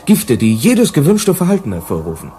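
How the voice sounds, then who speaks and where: Deferential company aide for a radio play: anxious, hesitant, lively intonation. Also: male voice, medium pitch, normal speed, slight background noise. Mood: eager. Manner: hesitant, cautious, eager to please. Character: anxious, deferential male assistant to the boss. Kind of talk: German radio play acting.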